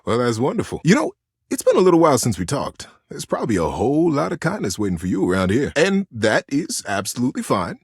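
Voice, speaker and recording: A swooping, folksy, kindly teddy bear. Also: male voice, mid-range voice, huge pitch swings, quick pace, husky, dry recording, clean studio sound, full character voice. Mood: cozy, inviting. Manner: gentle, earnest, wholesome folksy storyteller. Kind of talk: video game character dialogue.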